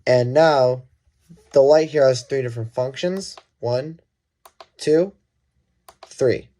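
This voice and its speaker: Guy doing a casual product walkthrough, soft mellow voice, medium pitch, kinda thinking out loud and fixing what he said. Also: takes it slowly. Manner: thinking aloud, self-correcting. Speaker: product reviewer. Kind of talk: casual hands-on gadget demonstration.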